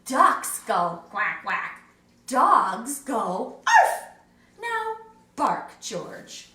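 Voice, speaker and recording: A woman's voice: a little high, wildly swooping pitch, extremely slow, pushed and bright, reverberant, recorded from a distance, exaggerated voice. A woman reading as an insistent dog mother. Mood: coaxing. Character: patient, insistent mother teaching her puppy.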